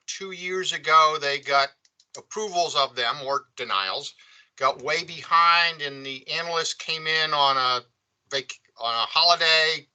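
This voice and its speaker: A man's voice: mid-range voice, bright, forceful tone, clean tone, rough recording. A man who heads a defenders' association, raising questions semi-formally on a conference call. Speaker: public defender association head asking questions.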